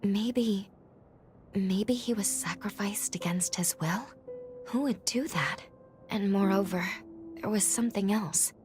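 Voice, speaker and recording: A woman playing an earnest, dutiful young companion in a video game, speaking in a measured, formal and sincere way. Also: medium pitch, darkish tone, roomy sound, distant mic, faint music behind, close to an everyday voice. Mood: uneasy, questioning. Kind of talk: video game cutscene acting.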